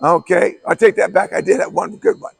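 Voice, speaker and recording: A hoarse man coach with a strikingly high voice, heavily gravelly and raspy throughout. He explains things bluntly and emphatically, hammering points home by repeating key words. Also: fairly even intonation, fairly quick. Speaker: basketball coach. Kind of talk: coaching clinic talk.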